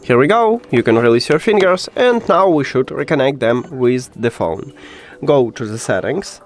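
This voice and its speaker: A man hosting a step-by-step tech tutorial in an instructional way, with slightly non-native phrasing. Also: medium pitch.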